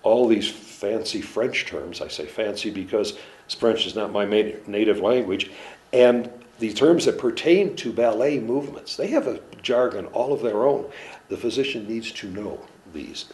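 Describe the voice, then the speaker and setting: A man who treats musicians and dancers, giving a measured, earnest, halting explanation in an interview. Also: on the low side, expressive, darkish tone. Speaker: clinician in performing arts medicine.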